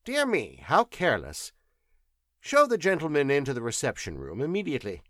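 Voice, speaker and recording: A man's voice: a little high, wildly swooping pitch, moderate pace, a bit bright, dry recording, voice pushed up. A brusque, eccentric professor who snaps short questions, as a man puts him on. His delivery is curt and fierce. Close, dry recording.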